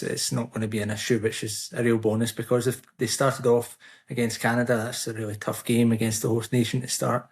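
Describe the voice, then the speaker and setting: Male podcast guest, level and even-toned, steady explainer at a middling pitch. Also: creaky. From United Kingdom. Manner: measured, explanatory answers. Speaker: podcast guest, Swiss football commentator. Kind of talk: casual podcast interview.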